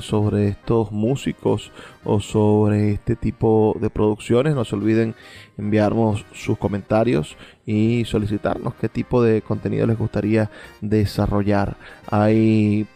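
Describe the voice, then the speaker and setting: A man hosting a radio show, giving reflective music commentary in a halting, searching way. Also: on the low side, vocal fry, dark tone. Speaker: radio host.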